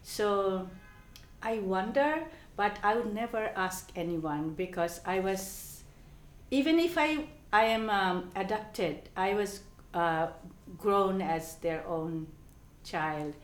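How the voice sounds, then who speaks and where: A woman from Bangladesh with a Bangladeshi accent, telling her life story in an oral history interview, halting often with ums and restarts. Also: mid-range voice, expressive, roomy sound.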